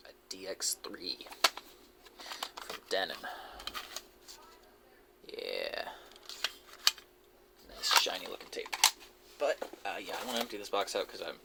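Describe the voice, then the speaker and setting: Low, gravelly man over heavy noise. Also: wildly swooping pitch. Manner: low-key, halting, musing to himself. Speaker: hobbyist video creator showing a cassette collection. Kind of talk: casual, unscripted show-and-tell commentary. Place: basement, indoors. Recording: noisy amateur audio with clicks and handling noise.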